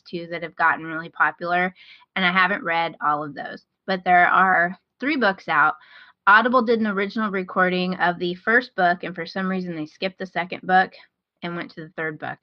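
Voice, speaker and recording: A woman's voice: on the low side, no echo. Chatty woman podcaster, slightly dull audio. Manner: chatty, enthusiastic. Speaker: podcast host. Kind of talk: casual podcast recommendation talk.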